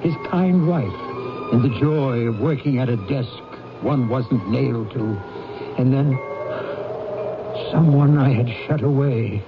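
An actor playing a haunted, defensive old miser in a 1970s radio drama, speaking theatrically and haltingly with remorse. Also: male voice, mid-range voice, natural voice. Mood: wistful, wondering. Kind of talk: 1970s radio drama acting with first-person narration. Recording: dull 1970s radio broadcast, music underneath.

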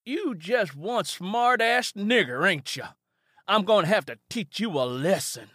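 A man's voice: sits high, lively intonation, unhurried, sharp tone, dry recording, studio quality, pitched above the performer's own voice, full character voice. Storybook heavy: threatening, cruel young man with a raised growl, played by a man. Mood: menacing.

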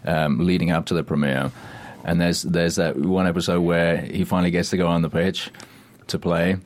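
A man's voice: low-pitched, level tone, normal speed. A man talking casually as a guest on a panel chat, animatedly retelling moments and acting out little quotes. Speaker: actor guest on a TV show recap.

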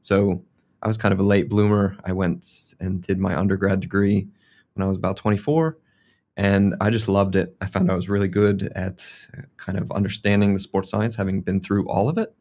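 American male scientist, 30s, very deep voice, laid-back guest with filler-heavy speech. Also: little pitch movement, normal speed, a little mellow. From United States. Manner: casual, uses many fillers like "like" and "kind of". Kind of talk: relaxed interview answers.

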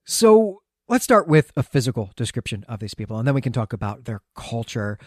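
A man's voice: mid-range voice, big pitch swings, a little fast, darkish tone, dry recording, studio quality. A man co-hosting a podcast, talking through a story in a conversational, explanatory way and often checking in with his listener. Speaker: podcast co-host. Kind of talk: conversational literary recap and discussion.

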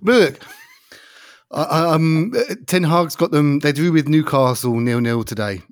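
A man's voice: on the high side, measured pace, dry recording, clean studio sound. A man co-hosting a casual football podcast who rambles and pokes fun at himself. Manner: rambling and self-mocking, with frequent restarts. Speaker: podcast co-host. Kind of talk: casual chat among football fans.

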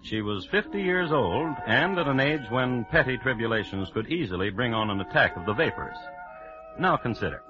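An actor playing a wry, mock-formal storyteller narrating an old-time radio crime drama. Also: male voice, mid-range voice, lively intonation, normal speed, close to an everyday voice. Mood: wry, droll. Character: wry, wordy male storyteller of a historical crime tale. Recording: muffled vintage radio recording with music.

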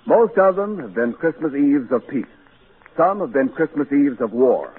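American man, 1940s radio announcer, scripted, formal phrasing, very varied pitch, faint hiss. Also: expressive, moderate pace. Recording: tinny, faintly noisy 1940s radio recording.

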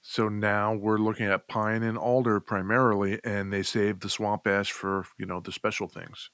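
A man's voice: lowish voice, level tone, moderate pace, a bit bright, no echo. A man co-hosting a casual gear chat, explaining things informally with some light joking. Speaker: podcast co-host reviewing guitar gear.

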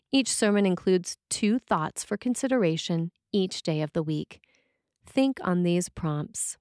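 Female sermon reader, measured and reflective, mid pitch, very dry close recording. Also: a little mellow, no echo. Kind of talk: scripted sermon reading.

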